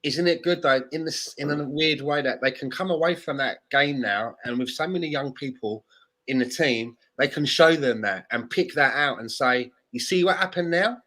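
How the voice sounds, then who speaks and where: A man's voice: mid-range voice, normal speed, sharp tone, poor audio. A man on a casual sports podcast, blunt and swearing freely as he argues. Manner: blunt, swears freely, plays devil's advocate. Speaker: podcast co-host.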